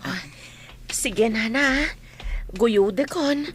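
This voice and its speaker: Woman doing a patient filipino massage healer in a radio drama, really high voice, slow, noisy recording. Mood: reassuring. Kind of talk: Ilocano audio drama acting.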